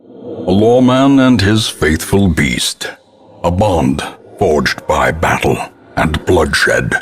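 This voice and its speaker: An actor voicing a grim, guilt-ridden old ancestor who narrates a gothic horror game in solemn, ornate, theatrical phrases with a husky voice. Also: male voice, low voice, lively intonation, full character voice. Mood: solemn, foreboding. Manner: solemn, ornate, deliberate dramatic delivery.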